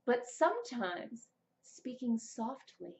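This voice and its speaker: A young adult woman presenting a scripted vlog of writing tips in an expressive, deliberate public-speaking manner. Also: on the high side, creaky. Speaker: vlogger, writer and amateur voice actor. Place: small plain indoor room, seated.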